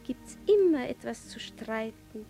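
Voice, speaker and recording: High-voiced young princess. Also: female voice, lively intonation, a little slow, vocal fry, dark tone, faint music behind. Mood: serene, refined. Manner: refined, courtly, commanding. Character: young, eager, refined noble princess.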